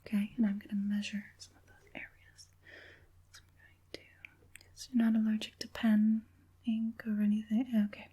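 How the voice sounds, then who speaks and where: A woman voicing a sly, falsely soothing evil witch puppet master in a breathy, coaxing whisper for an ASMR roleplay. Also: medium pitch, monotone, dark tone. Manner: coaxing, unhurried, sinisterly sweet.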